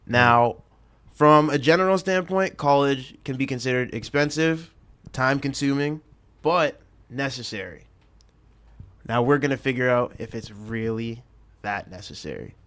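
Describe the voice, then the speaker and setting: Smooth, clear-voiced young man hosting. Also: mid-range voice, unhurried, fairly bright tone. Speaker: talk show host. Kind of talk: hosted roundtable chat.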